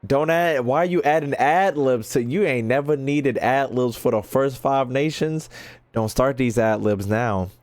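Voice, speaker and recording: A man doing casual, slangy gameplay commentary, expressive and given to mock-indignant rants. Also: medium pitch, unhurried, dry recording. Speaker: streamer playing and reacting to a video game.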